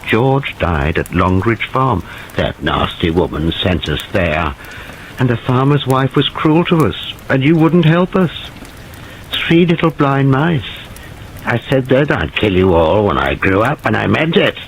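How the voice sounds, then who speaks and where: A man voicing an unhinged young man who talks like a child, his voice climbing high and gleeful. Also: lively intonation, a little slow, slight background noise, low-quality recording. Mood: bitter. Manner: childlike and gleeful, rising shrilly.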